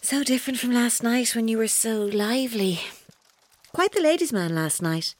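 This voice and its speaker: A woman's voice: fairly high pitch, expressive, no echo, natural voice. Actress as a coolly menacing woman, very dry recording. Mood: menacing, accusing. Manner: cryptic, deliberate, taunting. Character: intense, coolly menacing woman named Kerry, toying with a one-night stand. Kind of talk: radio drama acting.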